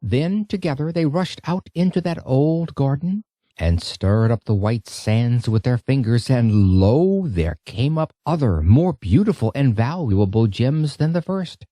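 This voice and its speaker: A man narrating an audiobook in a dramatic, expressive storytelling style. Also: mid-range voice, a little slow, no echo, studio quality.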